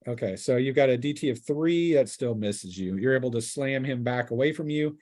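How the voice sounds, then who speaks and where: A man's voice: medium pitch, lively intonation, brisk, darkish tone. Man narrating as a game master. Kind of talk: narrating a tabletop fantasy game, conversational.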